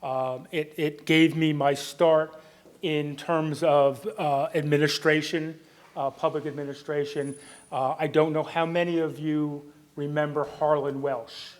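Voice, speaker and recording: Booming-voiced man moderating with many fillers. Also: level tone, measured pace. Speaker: debate moderator. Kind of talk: moderating a candidates night. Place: public meeting room.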